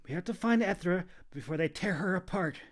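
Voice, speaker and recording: A man's voice: sits high, animated, brisk, voice pushed up. Man voicing a bossy, scolding female shapeshifter. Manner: scolding, hissing, curt. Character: mouthy, bossy three-hundred-year-old female shapeshifter, scolding and impatient.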